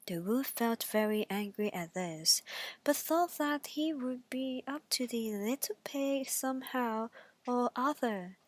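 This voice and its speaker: Slow-paced woman reading a bedtime story. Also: on the high side, no room sound. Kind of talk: scripted bedtime story narration.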